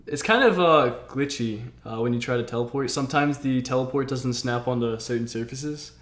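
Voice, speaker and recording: A man's voice: medium pitch, normal speed, fairly bright tone. A man hosting a casual chat on a game stream. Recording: roomy, echoey home stream audio.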